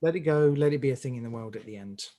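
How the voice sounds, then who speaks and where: A man's voice: mid-range voice, very fast, mellow. A man giving rambling, emphatic advice in a conversational interview as a director. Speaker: audio drama director on an interview panel.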